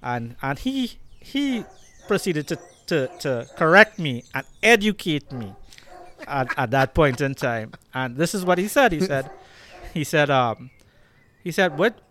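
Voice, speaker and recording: A man's voice: on the high side, very animated, measured pace, pushed and bright. A man with a Trinidadian accent hosting a podcast, telling a story with many fillers and restarts. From Trinidad and Tobago. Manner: storytelling, hesitant with fillers and repeats. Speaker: podcast host and Rottweiler breeder. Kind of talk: casual conversation.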